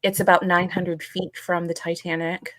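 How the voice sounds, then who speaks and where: A woman co-hosting a casual podcast, talking hesitantly with halting phrases and frequent pauses. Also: fairly low pitch, little pitch movement, a bit bright, no echo, poor audio. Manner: hesitant, halting, many pauses and "you know". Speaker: podcast co-host.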